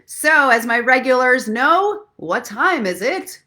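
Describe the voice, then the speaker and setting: Expressive woman hosting an inspirational livestream. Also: high-pitched, slow pace. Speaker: host of a live online story and inspiration show. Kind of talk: casual live chat mixed with guided relaxation and motivational talk.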